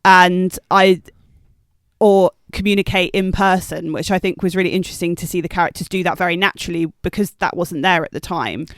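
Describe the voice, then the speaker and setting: A woman's voice: on the low side, steady tone, brisk, dry recording. Woman in her thirties, dry close sound. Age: 30s. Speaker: podcast co-host. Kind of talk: casual film discussion.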